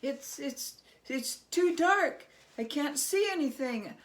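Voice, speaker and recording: A cheeky, wily storybook man played by a woman who feigns fright and talks back boldly. His voice jumps up high, with stammers and exclamations. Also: animated, slow pace, slight background noise. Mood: feigned timidity.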